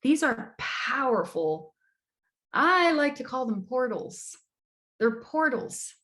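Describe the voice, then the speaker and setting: A woman from Brazil giving an online astrology lecture, measured and emphatic, repeating words for stress. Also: on the high side.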